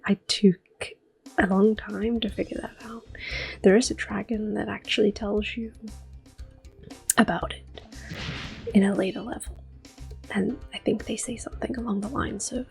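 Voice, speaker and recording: A woman speaks in a hushed, breathy voice that often slips into a whisper, at a slow and gentle pace. She is hosting an ASMR video game playthrough in a soft-spoken style, with music quietly playing behind her. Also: mid-range voice, low-quality recording. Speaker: gaming video host.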